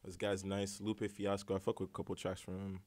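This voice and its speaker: Laid-back young man with a low voice. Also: fairly quick, no echo. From Canada. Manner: relaxed, rambling, heavy slang and fillers. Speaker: rapper guest. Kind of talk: casual interview chat.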